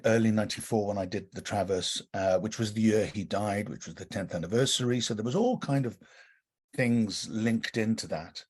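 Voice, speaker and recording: A man's voice: on the low side, breathy edge. A middle-aged man from North London with a slight Welsh lilt, telling rambling stories as a podcast guest, with many fillers and restarts. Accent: slight Welsh lilt over London English. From North London, England. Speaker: guest actor and director. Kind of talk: relaxed anecdotal podcast interview.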